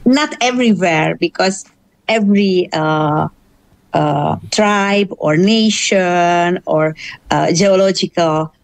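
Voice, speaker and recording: Dry-voiced Hungarian woman, halting speech. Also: lowish voice, no echo. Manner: hesitant, many drawn-out "uh" pauses while searching for words; careful, explanatory phrasing. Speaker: interview guest, gamelan singer. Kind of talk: thoughtful interview answers.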